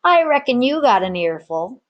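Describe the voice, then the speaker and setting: Woman voicing a gruff, folksy storybook barkeep. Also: fairly high pitch, sing-song, normal speed, lo-fi sound, pitched above the performer's own voice. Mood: menacing. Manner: folksy old sailor dialect. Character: gruff male tavern barkeep, threatening yet protective.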